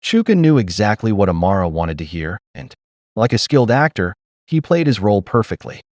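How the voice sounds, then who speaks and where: Deep-voiced man narrating with animated pitch. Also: fairly quick, no echo, clean studio sound. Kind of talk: scripted dramatic storytelling narration.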